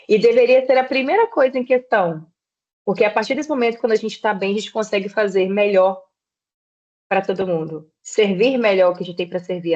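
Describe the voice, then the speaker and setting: A woman in her thirties from southeastern Brazil, a podcast guest explaining her work in a measured way. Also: medium pitch, darkish tone, dull audio. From Espírito Santo, Brazil. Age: 30s. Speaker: guest, personal trainer. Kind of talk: podcast interview.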